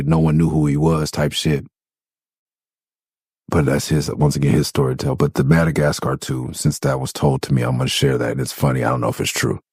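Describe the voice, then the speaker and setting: A man's voice: rumbling low, breathy edge, dry recording, studio quality. A man from Los Angeles with a nasal voice, hosting a podcast and telling loose, profane stories about making his album. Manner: loose, profane, animated retelling with quoted voices. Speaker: podcast host and rapper.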